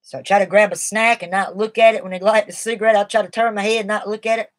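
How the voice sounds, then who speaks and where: A middle-aged woman chatting on her live stream in a rambling, confiding way. Her voice sits at an ordinary pitch for a woman, and she sounds a little shaky as she fights off cigarette withdrawal. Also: level tone, fast. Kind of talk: casual live stream talk.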